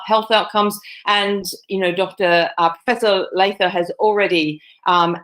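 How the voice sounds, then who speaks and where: A woman, a nursing professor giving a conference presentation over a video call, with many fillers and restarts. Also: on the low side. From Australia. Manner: fillers and self-corrections.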